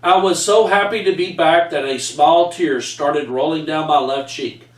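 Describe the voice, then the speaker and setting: A man's voice: on the high side, measured pace, recorded from a distance. A middle-aged man with a Texan accent reading his own story aloud in a folksy way, recorded by a camera across a room at home. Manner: folksy storyteller, says y'all. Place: home room with framed pictures, indoors. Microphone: far camera. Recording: echoey room, voice from afar.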